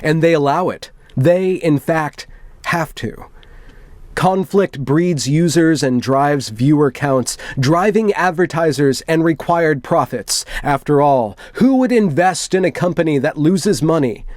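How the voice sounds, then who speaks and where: A man performs an aggrieved, self-important male forum poster delivering a grand manifesto. The voice is a little high and declamatory, with theatrical weight on the phrases. It is recorded very dry, as for an online video. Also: expressive, a little slow, a little mellow, no echo. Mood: bitter, accusing.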